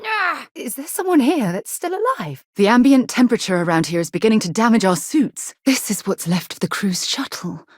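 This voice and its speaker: Expressive, principled woman space explorer. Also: fairly high pitch, a little fast, few pauses, dry recording, clean studio sound. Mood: alarmed, urgent. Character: principled, earnest female space explorer, loyal and articulate. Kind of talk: video game character dialogue.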